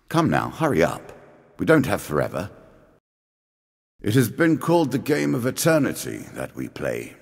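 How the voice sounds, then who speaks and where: An ageless, condescending dealer from a fantasy video game, with an extremely low voice. His delivery swings expressively through ominous, theatrical phrases, and a long reverb trails every word. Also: creaky, husky, heavily acted. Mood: impatient, brooding. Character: ancient, immortal, sardonic dealer of a deadly card game. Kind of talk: scripted fantasy game character lines.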